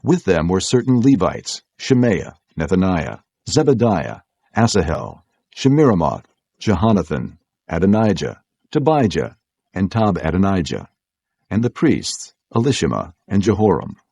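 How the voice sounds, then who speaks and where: A man reading scripture as an audiobook narrator in a measured, formal way. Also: deep voice, very animated, dark tone, breathy edge, dry recording.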